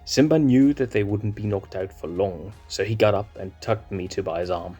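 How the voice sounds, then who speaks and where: A man narrating a fan fiction chapter in a steady, expressive storybook reading. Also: lowish voice.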